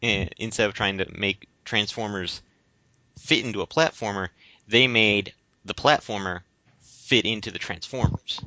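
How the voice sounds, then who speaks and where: Male podcast host, sharp bright tone, lowish pitch, rambling and halting, casual game talk. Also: no room sound. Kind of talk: casual discussion of games. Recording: dull lo-fi recording.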